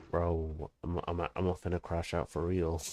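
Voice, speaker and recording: A man's voice: sits low, a little mellow, slight background noise. A man chatting casually on a gaming livestream, talking in slang and swearing freely. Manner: slangy, swearing, scat-singing to himself. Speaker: game streamer.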